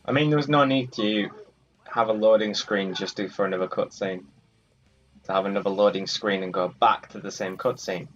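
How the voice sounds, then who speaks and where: A man's voice: lowish voice, steady tone, normal speed, a bit bright, dull audio, soft background music. A man giving casual, sardonic and grumbling commentary while playing a video game. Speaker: gaming video host. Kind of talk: casual let's play commentary.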